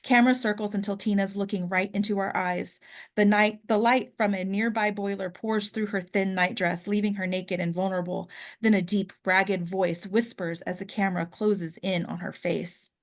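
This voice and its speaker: Woman reading horror screenplay directions, mid-pitched. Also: fairly even intonation, normal speed. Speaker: stage-direction reader at a table read. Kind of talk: dramatic scripted narration.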